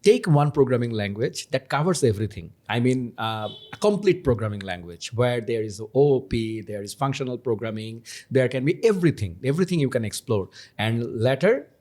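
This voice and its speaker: A man's voice: mid-range voice, brisk, few pauses. Bangladeshi host echoing his guest, chatty. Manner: energetic, repeats guest's points, stumbles over words, laughs. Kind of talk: casual podcast conversation.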